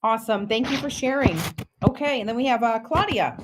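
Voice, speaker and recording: A middle-aged woman hosts a panel discussion in a relaxed, conversational way. She fills the gaps with ums and uhs and keeps things loose and friendly. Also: fairly high pitch, a little fast, noisy background. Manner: casual, filler-heavy, friendly asides. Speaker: moderator. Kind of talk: hosting a virtual panel.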